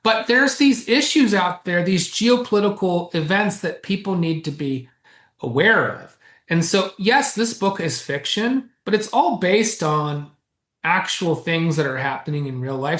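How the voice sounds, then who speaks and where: A man, a co-author guest in an interview, who explains at length in an animated way and chuckles often. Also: high voice, unhurried. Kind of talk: casual interview chat. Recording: roomy echo.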